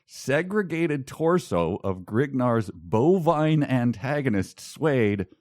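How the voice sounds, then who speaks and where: A man's voice: mid-range voice, sing-song, takes it slowly, darkish tone, no room sound, studio quality. A man co-hosting a podcast, commenting casually and comically in a mock-incredulous, questioning way. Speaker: podcast co-host. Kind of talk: casual comedic commentary, reading prose excerpts aloud.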